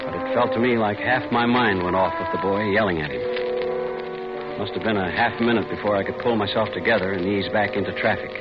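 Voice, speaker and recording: Man acting tense middle-aged everyman, lowish voice, hardboiled storytelling, muffled old radio with music. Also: fairly quick, close to an everyday voice. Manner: hard-boiled, dramatic first-person storytelling. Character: tense middle-aged husband narrating his own ordeal. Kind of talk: 1940s radio thriller narration.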